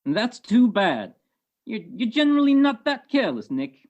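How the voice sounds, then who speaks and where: A woman's voice: fairly high pitch, big pitch swings, moderate pace, a little mellow. A woman playing a suspicious old male police sergeant who talks in needling tough-guy slang in a radio detective play. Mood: accusing. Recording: muffled video-call recording.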